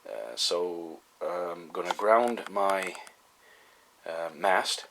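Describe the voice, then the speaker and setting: Male hobby vlogger, deep voice, folksy and halting delivery, slightly noisy recording with clicks. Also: sing-song, vocal fry. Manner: halting, folksy, thinking aloud. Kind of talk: casual explanatory vlog.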